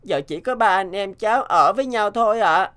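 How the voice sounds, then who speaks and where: A woman voicing a gentle, earnest young boy, a protective older brother, in a cartoon dub. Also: medium pitch, expressive, moderate pace, close to an everyday voice. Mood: pleading, worried. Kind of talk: dubbed cartoon dialogue.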